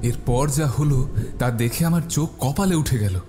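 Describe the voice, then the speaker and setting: A man's voice: mid-range voice, expressive, normal speed. A man narrating a scripted horror story in a dramatic style. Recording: loud music bed behind. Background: music.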